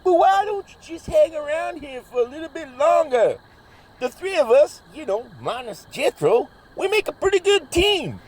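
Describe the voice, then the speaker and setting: A man acting expressive, exasperated everyday characters in a scripted comedy audio drama. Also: extremely high pitch, moderate pace. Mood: folksy concern. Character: excitable, exasperated men: hillbilly and pizzeria owner brother.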